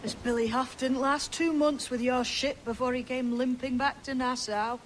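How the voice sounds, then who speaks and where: A woman acts out a stern, principled female pirate in a game drama. Her voice sits somewhat high and speaks with frank challenge over clear background noise. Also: fairly even intonation, normal speed, natural voice, rough recording. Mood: challenging, grave. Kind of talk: dramatic video game cutscene acting.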